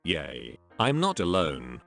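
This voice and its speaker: A man narrating a series of online posts in a steady read-aloud style. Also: very deep voice, slow, no echo, soft background music. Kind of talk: scripted narration.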